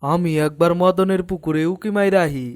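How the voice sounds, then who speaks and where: A man's voice: high-pitched, moderate pace, clean tone, natural voice. A man voicing a sly, greedy older village headman in a cartoon, speaking in an East Bengali dialect with a self-important, conspiratorial manner. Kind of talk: cartoon dialogue acting.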